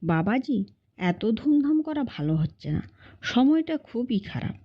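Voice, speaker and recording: A woman's voice: a little high, sing-song, unhurried, dull audio. Woman reading as an anxious, protective uncle. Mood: anxious pleading. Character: protective, anxious, humble uncle guarding his niece.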